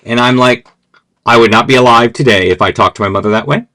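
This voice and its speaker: A man's voice: medium pitch, fast talker, pushed and bright. A man hosting a podcast conversation, speaking frankly and steering the talk. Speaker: podcast host. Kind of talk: casual conversational interview.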